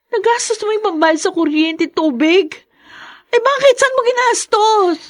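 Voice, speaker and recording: An actress with a Filipino accent playing an exhausted, long-suffering young wife who pleads and scolds emotionally in a Tagalog radio drama. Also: female voice, very high-pitched, expressive, measured pace. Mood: exasperated, pleading.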